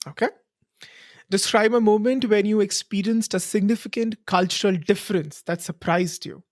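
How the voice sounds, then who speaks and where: A podcast host speaks at a middle pitch, recorded dry and close with no room sound. The host muses aloud with frequent fillers and gushes readily over the guest's answers. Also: moderate pace, dry recording. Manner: warm effusive reactions, reflective fillers like "you know". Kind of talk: casual interview.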